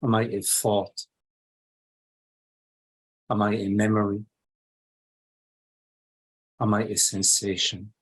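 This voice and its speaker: A man leading a guided meditation as a teacher, speaking in short phrases with long pauses between them. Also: fairly low pitch, little pitch movement, a bit bright, breathy edge.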